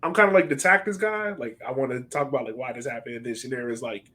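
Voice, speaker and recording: A man's voice: medium pitch, quick pace, sharp tone. Informal, slangy man hosting a podcast. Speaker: podcast host interviewing guests. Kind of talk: casual podcast chat.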